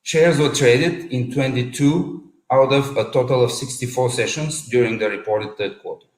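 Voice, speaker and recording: A man's voice: mid-range voice, normal speed, darkish tone. A man with a Bulgarian accent reading long strings of figures in a formal results presentation, with an occasional self-correction. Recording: echoey room.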